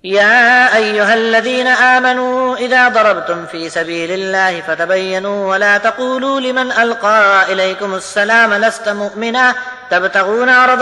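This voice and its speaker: A man giving a melodic Quran recitation, chanting with drawn-out syllables. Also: high-pitched, sharp tone. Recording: long hall reverb.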